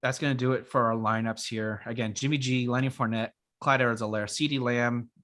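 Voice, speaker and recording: A young man co-hosting a casual fantasy football show, his voice raspy from being sick, talking in a relaxed, rambling way with many fillers. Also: mid-range voice, a little fast. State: raspy after illness. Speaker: podcast co-host. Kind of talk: casual sports chat.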